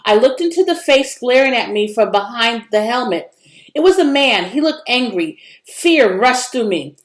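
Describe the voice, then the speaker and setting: A woman's voice: fairly high pitch, lively intonation, moderate pace. A woman narrating a children's story expressively, in a roomy, echoing recording. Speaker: read-aloud narrator.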